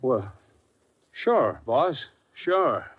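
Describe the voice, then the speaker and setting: An actor playing a nervous, deferential gang underling in an old-time radio crime drama, hesitant, stammering and eager to please. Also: male voice, mid-range voice, very animated, drawn-out words, breathy edge, exaggerated voice. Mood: nervous, placating. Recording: muffled, slightly hissy old radio recording.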